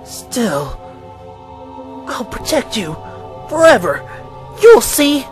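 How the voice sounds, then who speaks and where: A woman playing a gentle, protective young boy in a visual novel fan dub, soft, breathy and reassuring. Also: a little high, sing-song, drawn-out words, soft background music, heavily acted. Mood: resolute.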